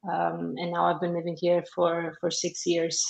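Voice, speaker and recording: A woman's voice: fairly low pitch, completely flat delivery, normal speed, creaky, a little mellow. Young woman from Romania, echoey office call. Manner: friendly, hesitant with fillers. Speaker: academic advisor. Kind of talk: video call interview. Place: office, indoors. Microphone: laptop webcam on video call. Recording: echoey office, dull webcam sound.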